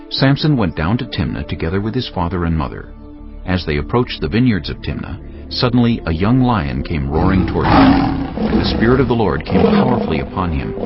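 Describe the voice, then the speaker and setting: A man narrating scripture in a dramatic, scripted style. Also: deep voice. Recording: dull lo-fi audio with music. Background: music.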